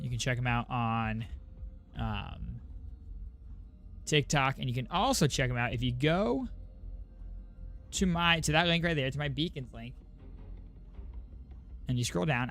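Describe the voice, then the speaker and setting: A man's voice: mid-range voice, fairly bright tone. A man hosting a game livestream, chatting informally and directly to his viewers. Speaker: game streamer and host. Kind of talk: casual livestream chat.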